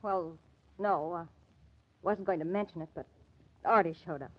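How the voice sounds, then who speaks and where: A woman's voice: lowish voice, normal speed, close to an everyday voice. A woman acting a defensive, jittery suspect in an old-time radio crime drama, protesting as she talks. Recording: dull vintage radio recording.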